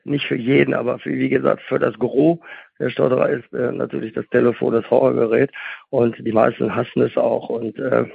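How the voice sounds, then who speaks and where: Husky gravelly guy explaining stuff like a therapist host, keeps asking questions n answering them, thin phone sound. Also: mid-range voice, flat delivery, fairly quick, no echo. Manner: emphatic, poses rhetorical questions and answers them himself. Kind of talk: conversational interview, explaining. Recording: phone-like, band-limited sound.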